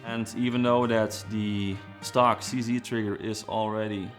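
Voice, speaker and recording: A man from Belgium, an engineer, telling his own story in a relaxed, reflective way with fillers and restarts. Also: lowish voice, unhurried. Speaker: trigger design engineer. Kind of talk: documentary-style personal narration. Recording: music bed underneath. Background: guitar music.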